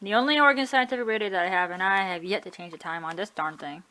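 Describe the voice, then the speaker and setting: A woman's voice: medium pitch, fast talker, sharp tone, poor audio. A woman casually showing off her collection at home, chatty and offhand, often correcting herself and shrugging things off. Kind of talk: casual home video tour. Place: room at home.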